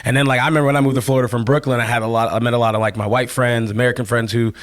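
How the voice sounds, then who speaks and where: A man's voice: medium pitch, level tone, rapid-fire. A young man hosting a casual interview, laid-back and chatty, full of "like" and "you know". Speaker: podcast host.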